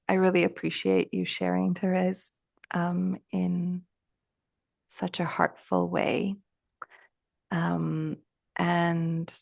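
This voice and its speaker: A woman guest on a podcast, speaking in a measured, thoughtful way, her voice trailing off softly at the ends of her thoughts. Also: on the low side, creaky, no echo, studio quality. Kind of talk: reflective conversation.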